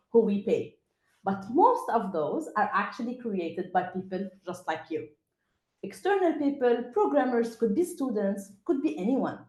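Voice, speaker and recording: A woman's voice: medium pitch, darkish tone. A woman from Lebanon with a Lebanese accent and Arabic as her first language, introducing herself as a panelist to students on an online call. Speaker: panelist, tech programme lead. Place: indoor room, online video call. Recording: roomy, echoey call audio.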